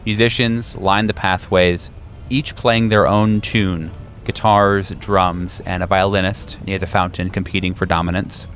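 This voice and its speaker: A man's voice: deep voice, little pitch movement, a little slow, fairly bright tone. A man acting as game master, describing scenes and guiding players in a tabletop roleplaying game.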